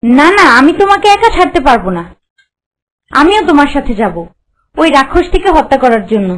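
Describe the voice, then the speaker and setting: Lady acting a cartoon princess, young, high voice, earnest and pleading. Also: sing-song, fairly quick. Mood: determined. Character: devoted young princess, brave yet anxious. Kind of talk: fairy-tale cartoon acting.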